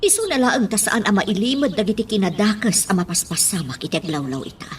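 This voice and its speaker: A woman's voice: mid-range voice, animated, quick pace, a little hiss or hum, close to an everyday voice. A woman with an Ilocano accent playing an anxious, protective mother and lady of the house in a radio drama. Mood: worried.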